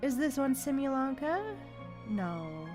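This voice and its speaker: Female game streamer, fairly high voice, unhurried pace, chatty casual commentary, faint music behind. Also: sing-song. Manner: chatty, reacting aloud to the game. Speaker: game livestreamer.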